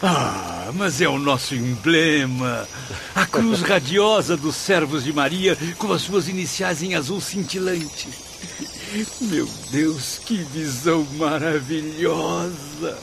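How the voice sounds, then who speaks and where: A man's voice: on the high side, slow pace, creaky, background noise. An actor playing an anxious, devout male spirit in a radio drama, theatrical and full of wonder, with a Brazilian accent. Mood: awestruck wonder. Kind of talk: radio drama acting, formal literary diction.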